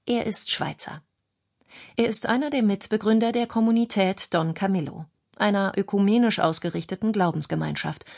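A woman's voice: mid-range voice, a little mellow. A woman reads a scripted documentary narration as a radio feature narrator.

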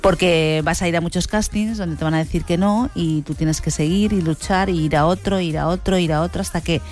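A woman from co-hosting a radio show as a casting director, calm and advising, often repeating herself. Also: fairly low pitch, a little fast, soft background music. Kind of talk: casual studio chat.

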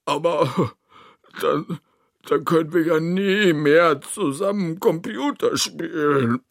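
A man voicing an earnest, emotional child friend in a children's story, with an excitable manner. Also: a little high, moderate pace, vocal fry, husky, no room sound, studio quality, pitched above the performer's own voice, heavily acted. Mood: tearful.

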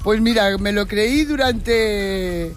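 A woman's voice: mid-range voice, slow, faint music behind. An older woman from Madrid calling in to a radio show, chatty and laughing often as she talks. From Madrid, Spain. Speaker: caller. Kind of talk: casual chat with radio hosts.

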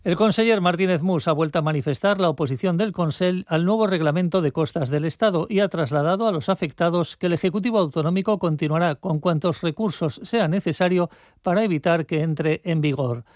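A man's voice: fairly high pitch, a little fast, a little mellow, dry recording, clean studio sound. A man with an accent from Spain delivering a scripted radio news report.

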